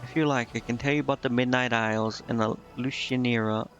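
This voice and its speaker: A man's voice: medium pitch, fairly even intonation, fairly quick, a bit bright. Muffled, hesitant man streaming a game. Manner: hesitant, stumbles over words while reading. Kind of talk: casual livestream commentary, reading game dialogue aloud. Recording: slightly muffled, music behind. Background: game music.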